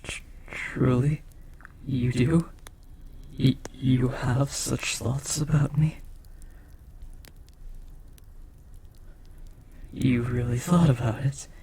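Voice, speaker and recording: A man playing a devoted, lovestruck demon in an intimate audio roleplay, speaking formally, earnestly and with breathy hesitation. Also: medium pitch, steady tone, slow, dark tone, a little hiss or hum, poor audio. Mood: hesitant, hopeful. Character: devoted, lovestruck powerful demon bound to a sorcerer.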